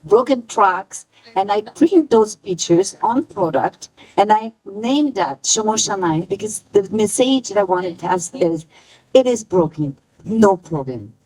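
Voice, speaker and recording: A woman speaking on a panel about the fashion brand she founded, halting and emotional, often searching for her words. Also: mid-range voice, animated, a little mellow, breathy edge, rough recording. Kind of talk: panel talk.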